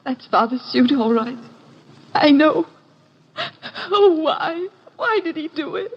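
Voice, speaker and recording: A genteel young woman in distress in vintage radio melodrama style. Her high voice swings widely and pleads at a slow pace. The recording is muffled. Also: expressive, a little hiss or hum. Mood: distraught, tearful. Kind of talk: 1950s radio melodrama acting.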